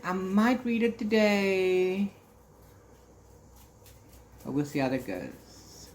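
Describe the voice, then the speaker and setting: A man talking casually as a book vlogger, rambling in a halting way with repeated words and restarts. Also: high-pitched, very animated. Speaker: book vlogger, read-along co-host. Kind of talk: casual rambling video diary about a book. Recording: clear background noise, ambient sound.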